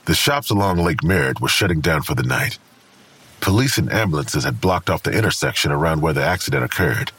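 Crime drama narrator: American, bottom-register voice, grave and measured, expressive intonation. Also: male voice, a bass voice, slight background noise. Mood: grave, detached. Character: grave, detached male narrator of a crime story. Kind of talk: scripted noir crime narration. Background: faint music.

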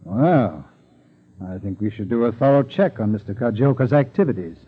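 An actor playing a brisk, authoritative American FBI chief in a 1940s radio drama. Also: male voice, mid-range voice, animated, breathy edge. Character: brisk, authoritative male FBI agent in charge. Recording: thin, phone-like 1940s radio recording.

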